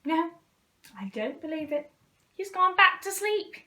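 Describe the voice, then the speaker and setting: A woman's voice: extremely high pitch, very animated, measured pace, sharp tone, voice pushed up, exaggerated voice. A woman voicing a blunt, scowling schoolboy in a storybook reading. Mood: grumbling.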